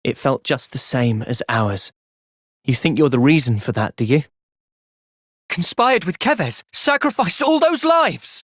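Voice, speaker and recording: A man with an English accent playing an earnest, thoughtful young soldier hero in a video game, speaking sincerely and with measured delivery. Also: fairly high pitch, big pitch swings, fairly bright tone, no echo, clean studio sound, heavily acted. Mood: troubled, pensive, then urgent, shouting. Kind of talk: video game cutscene voice acting.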